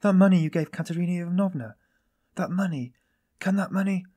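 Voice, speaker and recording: A man voicing an anguished young woman, pitched high. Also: animated, normal speed, a little mellow, close to an everyday voice. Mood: shocked, agitated. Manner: anguished, naive, exclamatory. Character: distraught, timid young woman, pleading and bewildered. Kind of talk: dramatised audiobook dialogue.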